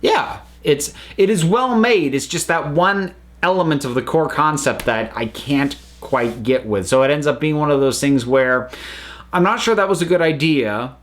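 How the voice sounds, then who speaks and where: A man's voice: on the high side, expressive, normal speed. An American man hosting his own channel, giving a casual, rambling spoken review with frequent restarts and self-corrections. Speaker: channel host reviewing audio dramas.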